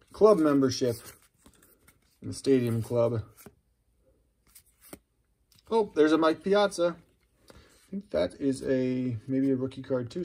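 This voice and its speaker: A middle-aged man with a husky, gravelly voice, chatting casually and musing aloud as he hosts a card-opening video. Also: mid-range voice, darkish tone. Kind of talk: casual unscripted commentary while handling cards. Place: indoors at a wooden table.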